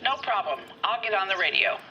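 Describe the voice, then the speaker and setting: An actress playing a businesslike police telephone operator, polite and efficient, heard through a phone filter. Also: female voice, mid-range voice, lively intonation, brisk. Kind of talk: 1940s crime drama game acting. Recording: muffled phone line with noise.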